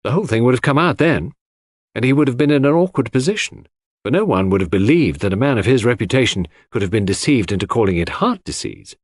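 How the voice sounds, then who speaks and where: A man playing an earnest, cautious gentleman sleuth who explains his theories in a tentative manner. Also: mid-range voice, animated, fast, dry recording, studio quality, lightly acted. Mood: doubtful.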